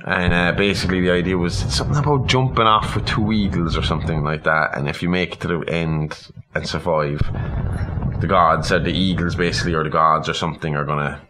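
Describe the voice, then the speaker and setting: Low-voiced man, rambling casual chat. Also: fairly even intonation, fairly quick, noisy background. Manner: rambling, sweary, opinionated. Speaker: podcast co-host. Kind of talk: casual film and story chat.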